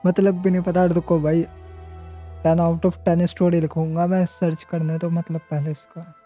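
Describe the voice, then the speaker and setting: A man's voice: on the high side, flat delivery, racing, warm tone. A man with an Indian accent, a native Hindi speaker, giving casual, informal live game commentary on a dull mic over music. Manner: informal, mixes Hindi and English. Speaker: game streamer. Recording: dull muffled stream mic, music behind.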